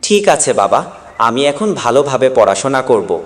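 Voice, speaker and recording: A man's voice: medium pitch, very animated, moderate pace, close to an everyday voice. A man acting the polite, studious young son of a poor farmer in a dramatised moral story. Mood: obedient. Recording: long echoing reverb.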